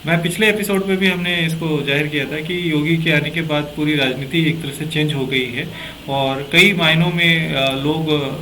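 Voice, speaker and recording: Guy hosting political talk show, bright punchy voice, rambles and hesitates, bit of background noise. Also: fairly high pitch, steady tone, moderate pace, few pauses, low-quality recording. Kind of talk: conversational political discussion in interview format.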